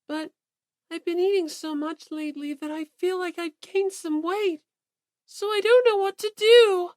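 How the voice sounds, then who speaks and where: A woman's voice: very high voice, unhurried, darkish tone, no echo, studio quality, pitched above the performer's own voice. A woman voicing a sweet, bubbly, fretful teenage idol girl in an audiobook. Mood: chirpy, fretting. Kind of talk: audiobook character acting.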